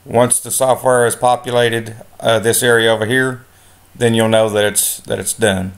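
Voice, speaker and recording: A man giving a step-by-step software lesson over a screen recording, relaxed, with many filler sounds. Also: mid-range voice, normal speed. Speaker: instructor.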